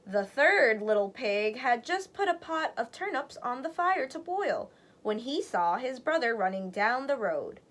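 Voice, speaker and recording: Animated, high-voiced woman reading a storybook. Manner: expressive, deliberate storytelling. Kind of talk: children's read-aloud narration.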